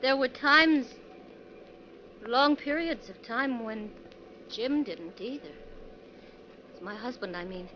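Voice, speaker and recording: A woman's voice: a little high, takes it slowly, long pauses. A woman playing a nervous, troubled wife on a bus trip in a 1940s radio drama, speaking hesitantly and earnestly. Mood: wistful sorrow. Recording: dull, noisy 1940s radio recording.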